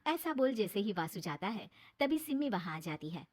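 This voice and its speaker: A woman's voice: medium pitch, sing-song, normal speed. A woman narrating a scripted family moral story as the storyteller.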